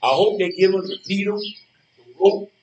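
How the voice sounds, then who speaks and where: A man's voice: sits high, moderate pace, lo-fi sound, noisy background, natural voice. An actor playing a feisty, boastful old man in a stage play, talking in a folksy, defiant way. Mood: indignant. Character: feisty, boastful old man who refuses to feel helpless. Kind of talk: live stage play dialogue. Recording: roomy stage recording from far off.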